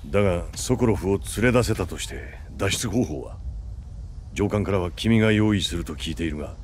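An actor playing a gruff, guarded soldier in a video game cutscene, speaking in a terse, stoic, brooding manner. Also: male voice, low-pitched, lively intonation, brisk, slight background noise. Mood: businesslike, wary. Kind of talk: dramatic game cutscene acting.

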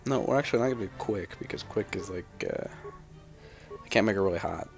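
A young male gamer talks casually over his game. His voice is low and creaky, with music behind it. He rambles irreverently and swears freely. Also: fast. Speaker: gamer, let's player. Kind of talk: casual gameplay commentary.

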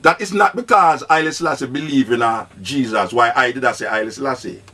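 A man's voice: medium pitch, brisk, bright, forceful tone, husky. An older man with a Jamaican accent, hosting call-in radio and arguing insistently, often cutting in. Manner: interrupts insistently, argumentative. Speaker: radio host.

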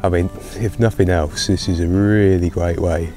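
British male angler, thirties, low unhurried voice, chatty riverside commentary over clear background music. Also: steady tone, mellow. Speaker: angler presenting a fishing video. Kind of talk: casual fishing commentary to camera. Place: outdoors on a wooded riverbank.

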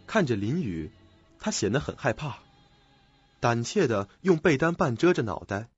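A man's voice: medium pitch, sing-song, normal speed, slightly rough, no room sound, highs cut off, soft background music. A man narrating a scripted suspense radio drama.

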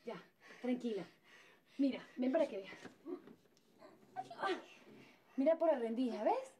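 An actress playing a lively, bold, motherly middle-aged woman neighbor who talks in a theatrical way. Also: on the high side, measured pace, slight background noise. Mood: soothing. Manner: bossy and soothing by turns, theatrical. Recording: music playing behind.

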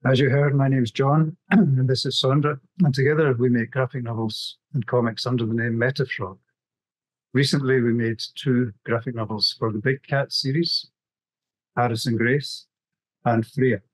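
A man's voice: medium pitch, no echo, poor audio. A middle-aged man with a Scottish accent, presenting a prepared webinar talk over a video call. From west coast of Scotland. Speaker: graphic novelist, webinar presenter.

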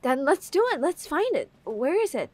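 A woman's voice: high voice, very animated, moderate pace. Woman acting a guarded, sarcastic teenage girl. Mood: incredulous, eager. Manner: sarcastic, defensive. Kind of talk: video game fan dub acting.

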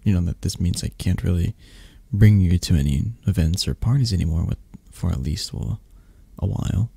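A man playing a possessive, tender, flirtatious mafia boss in an intimate, soft-spoken ASMR roleplay, murmuring and coaxing with hesitant phrasing. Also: sits low, lively intonation, moderate pace, creaky, slightly rough, no room sound, exaggerated voice.

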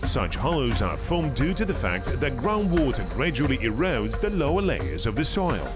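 Man, documentary voice-over, scripted narration, animated pitch, music bed. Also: normal speed.

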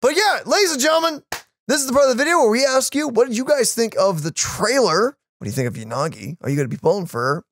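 A man's voice: extremely high pitch, very animated, fast, fairly bright tone, dry recording. A man in his twenties co-hosting a reaction video in casual, hedging chat, recorded close on a microphone in a home room. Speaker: reaction channel co-host. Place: small home room, indoors. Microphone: boom-arm studio microphone, close.

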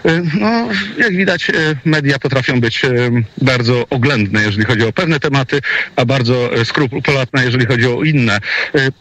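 A male radio correspondent gives live commentary in conversation. His pitch is average for a man. He is opinionated and wordy, often hesitating as he builds long sentences. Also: animated, normal speed, a bit bright, no room sound, low-quality recording.

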